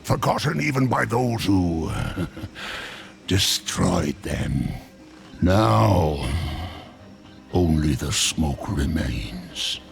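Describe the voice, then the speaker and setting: An actor playing a sly, cryptic demon merchant in a video game, theatrical, mock-humble and darkly amused. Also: male voice, low voice, sing-song, extremely slow, gravelly, pauses often, soft background music, heavily acted. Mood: wistful, ominous.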